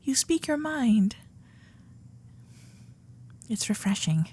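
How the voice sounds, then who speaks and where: A woman voicing a frank, flustered young Gilded Age society lady in a romantic ASMR audio drama, speaking earnestly in formal period phrasing with breathy asides. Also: a little high, very animated, takes it slowly, a little mellow, slight background noise. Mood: shyly smitten.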